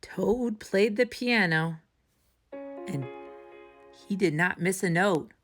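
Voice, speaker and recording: A woman reading a children's story aloud as a storytime host, with expressive, dramatic emphasis. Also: mid-range voice, takes it slowly, faint music behind. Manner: dramatic emphasis, asks listeners questions.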